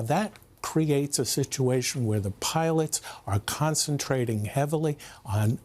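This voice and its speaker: A man with a soft, dark-toned voice at a middling pitch talks slowly and deliberately. He explains technical matters step by step, as an expert guest in a television news interview. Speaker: aviation expert guest, former pilot.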